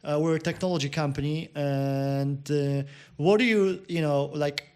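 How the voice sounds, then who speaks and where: A man's voice: on the high side, fairly even intonation, unhurried, a little mellow, clear, resonant tone. A man hosting an interview, asking long, meandering questions. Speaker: interview host.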